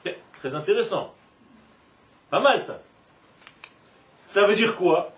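A man giving an animated Torah class with playful asides, recorded from a distance in a roomy classroom. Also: sits high, slow pace. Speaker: Torah teacher. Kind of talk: religious class lecture. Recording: echoey room, from far off.

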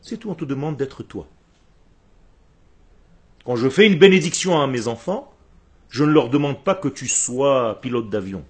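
Husky man teaching religion. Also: mid-range voice, animated, measured pace, long pauses. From Israel. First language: Hebrew. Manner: didactic, emphatic, with rhetorical questions and short phrases. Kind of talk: religious lecture to a group.

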